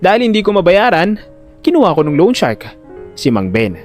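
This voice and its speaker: Animated male storyteller, slightly high voice. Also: moderate pace, soft background music. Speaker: narrator and lead character of audio drama. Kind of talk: first-person dramatic scripted narration.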